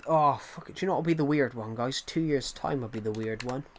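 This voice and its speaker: A man's voice: mid-range voice, fast, slight background noise. A man in his twenties chatting casually on a game stream, rambling and repeating himself while answering chat from a desk mic. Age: 20s. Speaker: gaming streamer.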